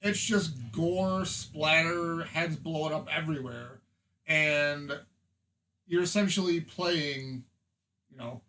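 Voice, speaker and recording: A man with a moderately high voice talks in a casual, conversational way. He sounds like a panelist in an informal podcast discussion. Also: takes it slowly, bright, forceful tone, a little hiss or hum, poor audio. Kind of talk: casual film and game discussion.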